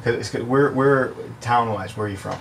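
A man's voice: mid-range voice, fairly quick, gravelly, recorded from a distance, slight background noise. A man hosting an interview, steering with brief questions and short reactions. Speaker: podcast host. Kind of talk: casual interview chat.

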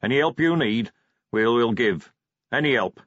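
A man's voice: mid-range voice, animated, moderate pace, a bit bright, no echo, lo-fi sound. A man voicing a grieving older male dwarf who speaks eerily without emotion. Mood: cold.

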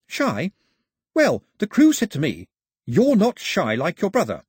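Man voicing an eager, high-pitched young islander chatterbox. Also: huge pitch swings, measured pace, darkish tone, slightly rough, no room sound, studio quality, exaggerated voice. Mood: eager, confiding. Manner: eager, emphatic, explanatory. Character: chatty, cheerful young islander man explaining foreign customs. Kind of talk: storybook character dialogue.

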